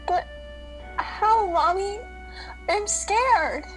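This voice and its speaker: A woman's voice: very high voice, drawn-out words, faint music behind, exaggerated voice. A woman playing a timid young cookie girl in a children's radio play, earnest and plaintive. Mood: scared.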